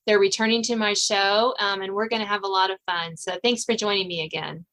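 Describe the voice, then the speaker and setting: A woman's voice: mid-range voice, fairly even intonation, fairly quick, a bit bright. A woman hosting a podcast in casual, chatty interview style. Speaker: podcast host.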